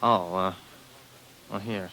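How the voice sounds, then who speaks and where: A man's voice: sits low, fairly even intonation, slow pace, bright, forceful tone, a little hiss or hum, heavily acted, poor audio. An actor playing a determined, plain-spoken spaceman in 1950s radio drama, with a terse, hard-bitten delivery. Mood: tired, matter-of-fact. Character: determined, plain-spoken male spaceman narrating his story.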